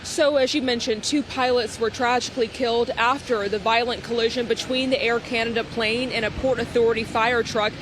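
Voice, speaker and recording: A woman's voice: a little high, fairly even intonation, a little fast, few pauses. A woman news correspondent delivering a live report from outdoors in an urban setting. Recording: outdoor urban ambience, traffic noise.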